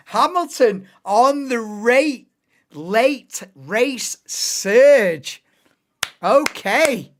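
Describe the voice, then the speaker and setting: A woman hosting a live race commentary stream, excitable and bursting into shouts and laughs, her voice going hoarse. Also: a little high, extremely slow. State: losing voice, hoarse.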